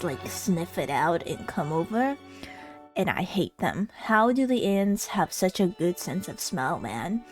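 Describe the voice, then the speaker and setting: A woman livestreamer chatting casually in a rambling, self-deprecating way, with music in the background. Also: mid-range voice. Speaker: live streamer.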